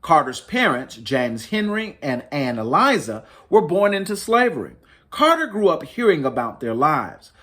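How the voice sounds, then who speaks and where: A man reads a children's picture book aloud as a story-time host. His voice sits a little high for a man and swings widely in pitch. He stresses key words with dramatic emphasis. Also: sing-song, normal speed, fairly bright tone. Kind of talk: expressive picture book read-aloud for families.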